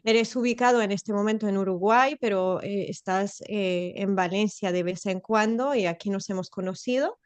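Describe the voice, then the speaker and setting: Woman with a mixed accent, smooth. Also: medium pitch, moderate pace, few pauses, no room sound. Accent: mixed international Spanish. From France and Spain. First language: French. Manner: friendly, hesitant restarts, light laughs. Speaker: co-host of an online talk. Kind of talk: casual conference introduction. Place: in-person event in Valencia.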